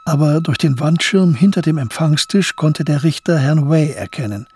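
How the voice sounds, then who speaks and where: A man narrating a radio drama in a measured, descriptive, literary storytelling style. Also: fairly high pitch, a little mellow, breathy edge.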